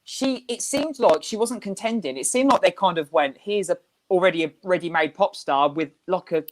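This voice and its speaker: A woman's voice: on the low side, normal speed. A woman chatting as a panel guest over a video call, frank and wry in her opinions. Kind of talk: casual panel chat.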